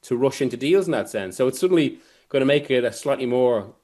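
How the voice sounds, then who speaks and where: A man's voice: medium pitch, expressive, mellow. A man giving football analysis as a guest journalist, rambling and often interrupting himself as he works through a point. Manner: halting, rambling, self-interrupting delivery. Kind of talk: sports discussion, analysis.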